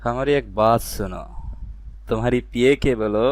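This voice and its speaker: A man's voice: mid-range voice, moderate pace, dry recording, lightly acted. A man voicing a doting, scheming sweetheart in a satirical political cartoon, coaxing and cajoling.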